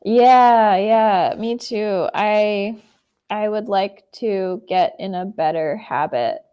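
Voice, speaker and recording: A woman's voice: mid-range voice, slow pace, clean tone, lo-fi sound. A woman from the United States tutoring English in an online conversation over a video call, speaking encouragingly with frequent fillers.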